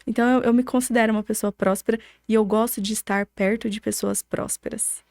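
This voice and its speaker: A woman's voice: a little high, fairly even intonation, fairly quick, vocal fry, darkish tone, no echo, studio quality. A young adult woman with a Brazilian accent answering as a podcast guest in an emphatic, motivational way, often posing rhetorical questions. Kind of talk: conversational interview answers, motivational.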